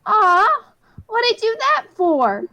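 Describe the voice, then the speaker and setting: A woman's voice: very high voice, sing-song, slow, bright, forceful tone, exaggerated voice. A woman voicing a bratty, stubborn little boy in a radio play, whiny and demanding. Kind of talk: hobby radio play acting.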